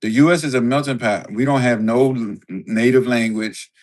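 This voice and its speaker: A man's voice: mid-range voice, moderate pace, darkish tone. A man speaking as a podcast guest in casual political talk, blunt and slangy with frequent profanity.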